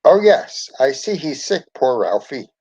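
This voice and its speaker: A man's voice: mid-range voice, very animated, a little slow, a little mellow, husky. A man voicing a zany, eager woman schoolteacher with a bold, commanding manner in a storybook. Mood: concerned, brisk. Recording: dull lo-fi recording.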